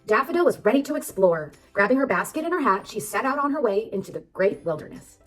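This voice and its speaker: A woman reading a children's storybook aloud as narrator, expressive and addressing young listeners. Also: medium pitch, fast talker, recorded from a distance, poor audio. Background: background music.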